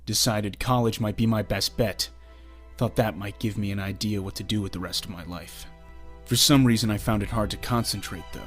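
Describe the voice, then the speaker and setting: A man's voice: on the low side, fairly even intonation, fairly quick, lightly acted. A man playing a bitter, earnest young former sidekick, delivering a reproachful dramatic monologue in a comic dub. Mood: resentful, wry. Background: music.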